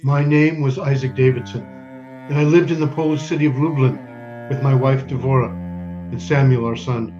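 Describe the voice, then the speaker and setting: Male actor as grave husband and father, solemn first-person stage narration, measured, dull recording, music behind. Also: medium pitch, fairly even intonation, lightly acted. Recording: dull band-limited sound under music.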